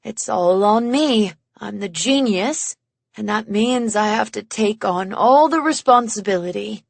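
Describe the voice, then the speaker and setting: A woman's voice: medium pitch, unhurried, vocal fry, no echo. A woman voicing a bitter, volatile genius of a man in an audiobook, intense, condescending and mocking. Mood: agitated apology. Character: bitter, volatile, self-important genius man, haggard and snide. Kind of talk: audiobook character acting.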